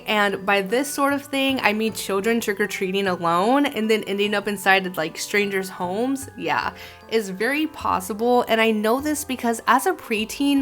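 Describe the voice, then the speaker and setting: A woman's voice: a little high, fairly bright tone. Woman narrating a video commentary, chatty storyteller style, stresses words for effect, music playing behind her. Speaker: host reviewing an animated horror film. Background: background music.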